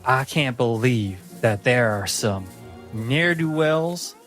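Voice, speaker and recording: A man's voice: mid-range voice, expressive, takes it slowly, a bit bright, soft background music. Man voicing a tiny, cheerful, earnest little swordsman. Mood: cheerful, indignant. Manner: cheerful, earnest, chivalrous, rambling.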